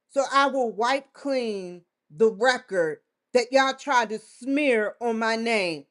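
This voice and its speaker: A woman vlogger giving a defiant, confrontational monologue straight to the camera, swearing often. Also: high voice. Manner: defiant, confrontational, with frequent profanity. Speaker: vlogger hosting her own show.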